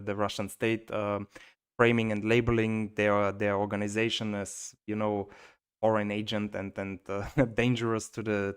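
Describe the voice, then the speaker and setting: A man's voice: on the low side, smooth. A man hosting an interview, speaking hesitantly with many fillers and repeated words. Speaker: interview host. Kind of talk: conversational interview.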